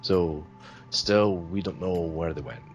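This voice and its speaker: A man's voice: low-pitched, slow pace, dull audio, slight background noise. A man from Sweden answering interview questions in a hesitant, rambling way, full of fillers. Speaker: interview guest.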